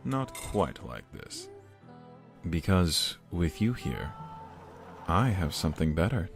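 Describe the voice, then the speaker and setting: A man playing a suave, smooth-talking seducer with a cruel streak in an audio drama. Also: low-pitched, sing-song, slow pace, soft background music, exaggerated voice. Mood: tender, earnest. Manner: smooth-talking, affectionate endearments. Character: suave, charming, secretly cruel male seducer.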